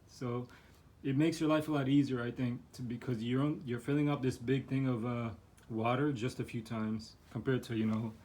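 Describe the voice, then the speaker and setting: A man's voice: mid-range voice, a little mellow. A man who is losing his voice, rambling through a casual home vlog as he shows his pets' setup in his living room, with lots of fillers and restarts. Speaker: guinea pig owner showing his setup.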